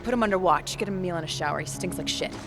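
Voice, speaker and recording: A woman's voice: on the low side, racing, music in the background, natural voice. An actress playing a stern female paramilitary commander in a video game, clipped, cold and authoritative. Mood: commanding, cold. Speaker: militia commander. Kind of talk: video game cutscene acting.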